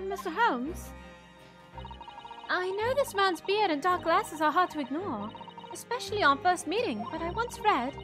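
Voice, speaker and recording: A woman voicing a polite, earnest young male lawyer who is quick to get exasperated, in a fan dub of a game, with game music behind. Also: extremely high pitch. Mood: lecturing.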